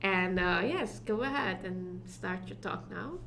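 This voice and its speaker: A woman's voice: medium pitch, expressive, measured pace, gravelly, fairly bright tone, slight background noise. A woman with a Dutch accent hosting an online tech summit, giving effusive introductions with plenty of fillers. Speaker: summit host. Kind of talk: online event moderating.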